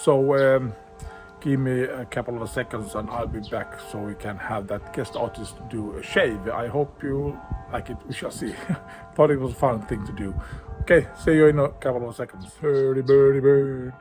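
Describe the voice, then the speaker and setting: Host with a Swedish accent talking through his shave, native Swedish speaker, mid-pitched and easygoing. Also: moderate pace, a little mellow, faint music behind, poor audio. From Sweden. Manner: chatty, searching for English words. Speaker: shaving channel host. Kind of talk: casual shaving vlog.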